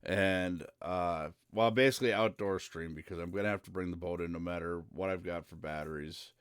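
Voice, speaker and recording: Male streamer, low smooth voice, easygoing and rambling. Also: steady tone, brisk, dry recording, studio quality. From central Minnesota. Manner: rambling and easygoing, with frequent "uh" fillers. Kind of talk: casual live-stream chat.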